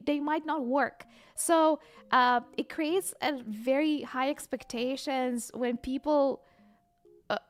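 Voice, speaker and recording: High-voiced streamer woman. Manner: chatty, excitable, bursts of squeals and laughter; hesitant non-native English with many fillers. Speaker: livestreamer. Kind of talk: casual livestream chat, reading viewer comments aloud.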